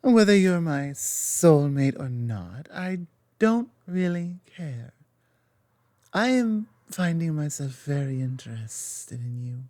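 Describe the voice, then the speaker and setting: A woman playing a loving, softly dominant lamia girlfriend in an intimate ASMR roleplay, tender and earnest, with halting delivery and a sibilant lisp. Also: low-pitched, very animated, dry recording, clean studio sound, exaggerated voice.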